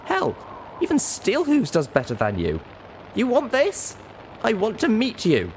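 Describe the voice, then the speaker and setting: A man's voice: sits high, huge pitch swings, measured pace, a little mellow, slight background noise, voice pushed up, exaggerated voice, low-quality recording. A man voicing a feisty, earnest young female pony in an audiobook story. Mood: indignant.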